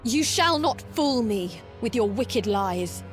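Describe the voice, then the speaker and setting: Dutiful warrior maiden for fantasy game: stern, formal, higher register, set over a strong score. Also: female voice, expressive, takes it slowly, faint music behind. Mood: angry. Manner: formal, severe. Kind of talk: dramatic fantasy game acting. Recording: loud game score behind.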